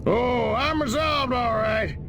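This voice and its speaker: A blustering army general in a video game, pitched very high for a man. He is cocky, scornful and stubborn, with clear rumbling noise behind him. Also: takes it slowly, fairly bright tone, exaggerated voice. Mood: defensive, defiant. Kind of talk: 1950s-set video game acting. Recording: clearly noisy with low rumble.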